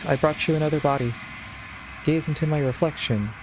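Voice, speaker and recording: A woman's voice: low-pitched, fairly quick, background noise. A woman streaming a game, casually commenting and reading dialogue choices aloud. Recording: game music behind voice.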